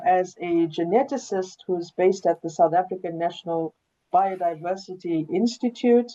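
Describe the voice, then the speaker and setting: A woman hosting a webinar, introducing her guest in a measured way with many pauses, over a video call. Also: medium pitch, warm tone, muffled recording. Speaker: host.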